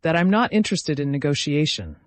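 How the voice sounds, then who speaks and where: A man voicing an exhausted, troubled man possessed by a dark entity in a fantasy story. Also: a little high, very animated, fairly quick, dry recording. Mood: weary, frank.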